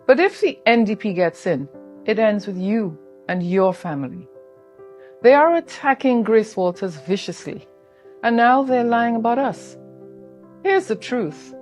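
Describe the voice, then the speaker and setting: A woman delivers a scripted political address in a steady, mid-pitched voice. She sounds earnest and insistent as she accuses her opponents. Soft music plays underneath. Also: measured pace. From Trinidad. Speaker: political spouse in a campaign video.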